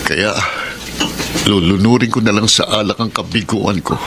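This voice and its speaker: A man's voice: fairly low pitch, normal speed, creaky, noisy background. An actor playing a lovelorn, heartbroken young man in a Filipino radio melodrama. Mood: anguished, bitter. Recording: loud music under radio drama.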